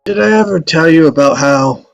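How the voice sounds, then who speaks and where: A man's voice: fairly high pitch, animated, moderate pace, creaky, soft background music, rough recording. An actor playing a stubborn, ailing old father who spins tall tales in a folksy, digressive way at a script read. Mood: wry. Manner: folksy, digressive, rhetorical storytelling. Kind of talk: table read of a film script.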